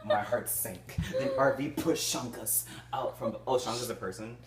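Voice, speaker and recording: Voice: mid-range voice, moderate pace. A person giving an amateur comedic reading of a novel aloud, giddy and easily derailed into giggly, sweary, theatrical asides. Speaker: reader in a comedic group book reading. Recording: roomy echo, faint background noise.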